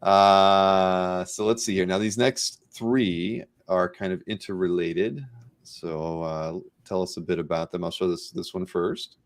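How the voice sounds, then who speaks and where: Low-voiced man hosting casually. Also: fairly even intonation, a little slow. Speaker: host of a live interview show.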